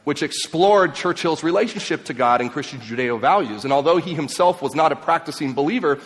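An emphatic man who grew up in Mexico and Ecuador addresses a live audience. His voice sits a little higher than most men's and swings from forceful to hushed. A long hall reverb trails each phrase. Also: expressive, fairly quick. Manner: impassioned, emphatic, swings between loud and quiet. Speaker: speaker. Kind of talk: speech to an audience.

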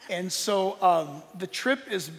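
A man giving an informal, earnest preaching talk to an audience as a Bible teacher, emphatic, with dramatic pauses. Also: sits high, lively intonation. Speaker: Bible teacher and tour leader. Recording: long hall reverb.

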